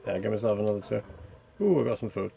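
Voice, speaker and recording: A man's voice: lowish voice, fast talker, vocal fry, mellow, faint music behind. A man giving casual, chatty commentary over gameplay, thinking aloud and asking himself questions as a reviewer. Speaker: video game reviewer.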